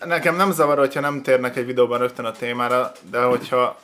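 A man's voice: medium pitch, flat delivery, fairly bright tone. Casual man hosting a reaction show. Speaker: host of a video reaction show. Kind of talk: casual chat, video commentary.

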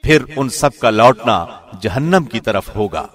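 A man narrates translated scripture in a formal, measured way. His pitch is mid-range for a man. The voice carries a long reverberant tail. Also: animated. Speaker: translation reader, radio host.

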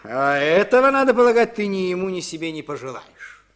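A man's voice: high-pitched, big pitch swings, brisk. A man playing a stern, snobbish, pompous father in a radio play. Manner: pompous, formal. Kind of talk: 1950s Soviet radio play acting.

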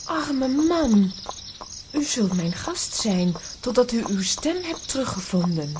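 Stately, slow-speaking princess. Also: female voice, fairly high pitch, big pitch swings, background noise. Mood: composed. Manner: stately, formal. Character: gracious, composed young princess at her palace. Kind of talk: children's audio play acting.

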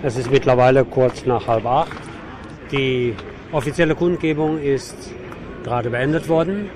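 A man working as a radio street reporter, speaking off the cuff in halting, searching phrases, live from a demonstration outdoors. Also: medium pitch. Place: outdoors on a city avenue at a demonstration. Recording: noisy outdoor street with crowd. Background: crowd and street noise.

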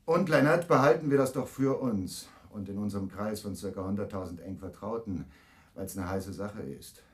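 A man's voice: lowish voice, normal speed, husky. Slick wheeler-dealer, salesman's patter. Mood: sly, joking. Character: brash, fast-talking wheeler-dealer man pitching schemes to film people. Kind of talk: radio drama acting.

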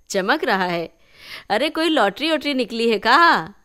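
A woman's voice: a little high, animated, slow pace, pushed and bright, voice pushed up, full character voice. Storybook older father, acted by a woman: jovial, teasing, rustic dialect, raised hearty delivery.

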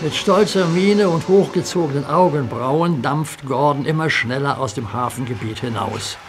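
A man's voice: medium pitch, normal speed, music in the background. A man narrating a children's radio play in a vivid storytelling manner.

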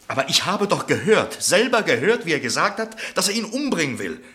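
A man's voice: fairly high pitch, very animated, fairly quick, bright, forceful tone. Agitated young man, swooping and urgent. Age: young adult. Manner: urgent, stammering, repeating himself. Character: agitated, insistent young man pleading with police. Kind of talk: German radio play acting.

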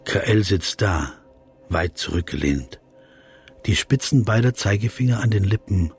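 An actor playing an observant, reflective philosopher narrating a radio play in a measured, introspective way. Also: male voice, on the low side, lively intonation, darkish tone, faint music behind. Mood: watchful.

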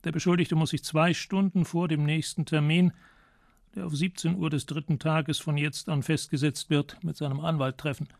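An actor playing a stern, officious examining magistrate in a radio crime drama, speaking in formal bureaucratic language. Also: male voice, a little high, level tone, moderate pace, creaky, darkish tone, no echo, clean studio sound. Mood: formal dictation.

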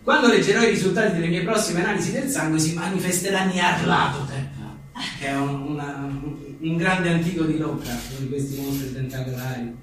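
A man's voice: on the high side, fairly quick, a bit bright. A man reading humorous one-liners aloud with casual asides, in a wry, joking manner. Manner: wry, joking, often chuckling. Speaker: writer and journalist presenting his own book. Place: live book presentation in a reverberant room. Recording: echoey room, distant mic, faint noise.

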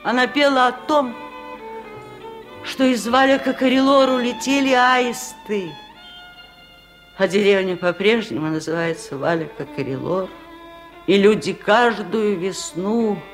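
An actress playing a wise, kind and slightly stubborn old village aunt in a 1970s radio drama, speaking slowly in a low voice. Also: female voice, faint music behind. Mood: solemn. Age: older.

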